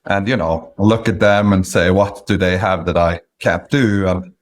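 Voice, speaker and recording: A man in his late thirties from Norway with a Norwegian accent, a guest in an interview who speaks in a measured way with frequent pauses. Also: deep voice, fairly even intonation, a little hiss or hum. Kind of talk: interview conversation.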